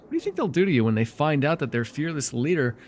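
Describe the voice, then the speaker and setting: A man's voice: a little high, big pitch swings, quick pace, slight background noise. A man playing a villainous nightmare lord in an ASMR fantasy roleplay, sly, taunting and flirtatious, with a theatrical, teasing, mockingly sweet manner. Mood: mocking, insinuating.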